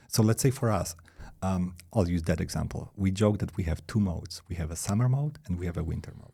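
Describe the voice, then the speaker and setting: Low gravelly man with a Polish accent. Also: mellow. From Poland. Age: 40s. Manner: hesitant, repeats words, says "you know" and "hey" often. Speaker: guest, director of engineering. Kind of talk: casual interview talk.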